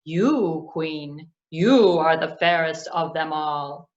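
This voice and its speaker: A woman voicing a solemn magic mirror in a flat, low-key tone, on a dull lo-fi recording. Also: slow, roomy sound.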